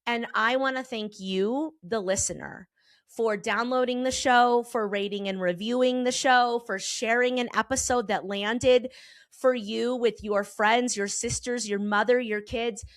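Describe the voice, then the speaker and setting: A slightly hoarse woman in her forties, a therapist hosting her own podcast, talking in a candid, emphatic way with casual swearing. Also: a little high, steady tone, a little slow, dry recording, studio quality. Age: 40s. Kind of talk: personal podcast monologue. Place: Colorado.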